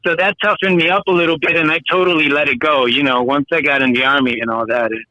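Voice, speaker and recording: A man's voice: fairly high pitch, fairly even intonation, fast, sharp tone. A man of about fifty, a guest telling his story in a rambling, hesitant way full of fillers. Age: 50s. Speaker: guest artist. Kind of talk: casual interview chat. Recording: dull lo-fi sound.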